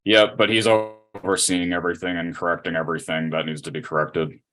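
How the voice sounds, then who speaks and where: Deep-voiced man chatting casually on a podcast. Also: flat delivery, a little fast, fairly bright tone.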